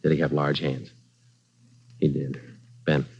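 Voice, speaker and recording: An actor playing a terse police detective sergeant who narrates the case in a clipped, matter-of-fact way in a 1940s radio drama. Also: male voice, a bass voice, flat delivery, unhurried, gravelly, a little mellow, husky, full character voice. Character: terse, deadpan adult male police sergeant. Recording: dull 1940s radio broadcast with music.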